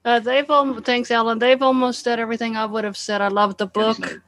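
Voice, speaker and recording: A woman's voice: fairly high pitch, level tone, a little fast, a bit bright, a little hiss or hum. A woman talking casually as a member of a book club.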